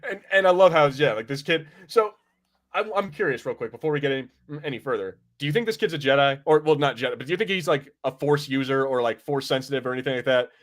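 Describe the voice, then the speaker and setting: A man's voice: medium pitch, animated, fast talker. A man hosting a podcast, chatting casually in a rambling way and acting out imagined lines as he reviews. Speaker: podcast host.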